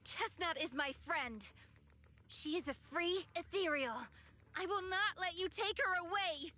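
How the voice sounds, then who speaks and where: A woman's voice: very high-pitched, a little slow, bright, forceful tone, full character voice. An actress playing a principled young video game heroine who speaks with earnest, resolute defiance to protect her people. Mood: indignant, resolute. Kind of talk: dramatic video game voice acting.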